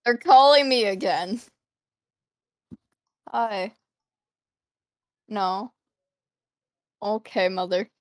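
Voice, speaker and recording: Laid-back giggly woman talking real slow, dry close mic. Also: mid-range voice, no echo. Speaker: co-player. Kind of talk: casual gameplay chat.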